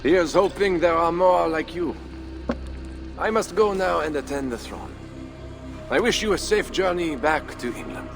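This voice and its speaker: An actor playing a proud, courteous count with formal, measured phrasing in a video game. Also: male voice, on the high side, over music. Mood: gracious farewell. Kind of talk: dramatic video game cutscene acting.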